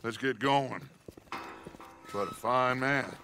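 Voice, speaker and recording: An actor playing a talkative, theatrical middle-aged outlaw gang leader in a video game cutscene. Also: male voice, mid-range voice, takes it slowly, bright, forceful tone, soft background music. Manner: expansive, theatrical.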